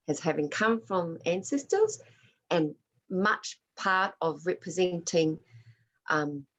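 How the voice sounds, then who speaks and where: A woman who grew up in Australia, leading a workshop and answering questions on a video call, speaking in a reflective, personal way with Māori words mixed into her English. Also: on the low side. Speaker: workshop facilitator.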